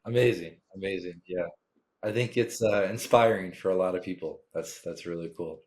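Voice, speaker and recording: A middle-aged man hosts a podcast with a fairly low voice. He sounds upbeat and friendly as he opens the episode and poses questions, with a few "um"s along the way. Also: moderate pace, a little mellow. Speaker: podcast host.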